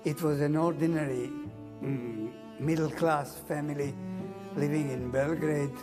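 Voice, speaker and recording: An elderly survivor from Serbia giving testimony, pausing to search for words. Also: medium pitch, fairly even intonation. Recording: reverberant room. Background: music.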